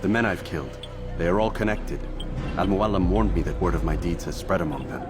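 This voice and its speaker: An actor playing a stoic, disciplined assassin in a video game, speaking in a measured, formal and restrained way. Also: male voice, sits low, music in the background, close to an everyday voice. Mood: focused. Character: stoic, disciplined male assassin, coolly earnest. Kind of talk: scripted game cutscene acting.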